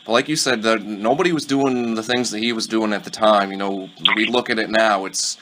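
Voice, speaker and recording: A man hosting a podcast interview, relaxed and chatty, with many fillers and quick laughs. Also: fairly low pitch, slight background noise, poor audio. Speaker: podcast host. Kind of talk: casual interview.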